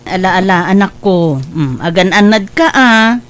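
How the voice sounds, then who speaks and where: A woman playing a warm, counselling younger sister and mother in an audio drama, coaxing and expressive, with an Ilocano accent. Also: medium pitch, a little slow, natural voice. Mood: cheerful, fond.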